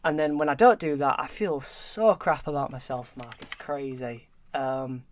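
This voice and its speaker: A young man in his early twenties, a podcast guest talking candidly and haltingly, often repeating words. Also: mid-range voice, slight background noise. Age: early 20s. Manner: halting, repeats words, open about struggles. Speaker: podcast guest, film graduate. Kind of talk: candid conversation.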